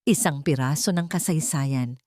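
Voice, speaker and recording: A woman's voice: sits low, moderate pace, a little mellow, no echo, studio quality, close to an everyday voice. A woman with a Filipino accent voicing a polite, persuasive, scheming male antique seller in an audio story. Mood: proud.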